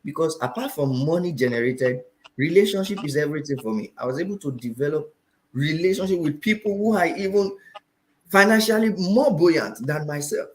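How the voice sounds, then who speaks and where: A man with a Nigerian accent, a finance teacher talking as a guest in an interview, animated and often repeating phrases for emphasis. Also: on the high side, moderate pace. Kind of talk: interview talk.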